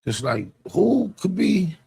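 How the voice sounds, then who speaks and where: A man's voice: high-pitched, big pitch swings, takes it slowly, creaky, warm tone, husky, no room sound, clean studio sound. A man giving casual, slangy, unfiltered reaction commentary as a host, with some swearing. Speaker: host reacting to a narrated video.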